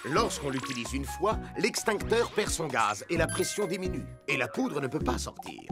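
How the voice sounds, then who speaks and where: An actor voicing a calm, helpful rescue robot car in a cartoon dub, patiently explaining things step by step. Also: male voice, on the high side.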